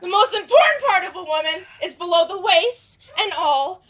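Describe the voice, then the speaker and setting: A woman's voice: extremely high pitch, lively intonation, moderate pace, fairly bright tone, a little hiss or hum. A young woman in a school stage play, playing an idealistic actress who lectures at length in a theatrical manner. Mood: lecturing.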